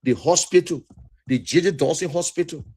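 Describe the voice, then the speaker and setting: A man's voice: a little high, normal speed, darkish tone, slightly rough. A man from Liberia with a Liberian English accent gives an impassioned political talk, emphatic and repetitive, with a preacher-like cadence.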